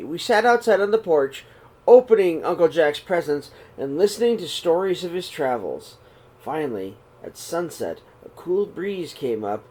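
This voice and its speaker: A middle-aged man reading a children's picture book aloud in a small room, slow and expressive. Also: a little high, husky. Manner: reads slowly and expressively, voices the characters' calls. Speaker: storybook reader. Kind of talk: read-aloud storytelling for children.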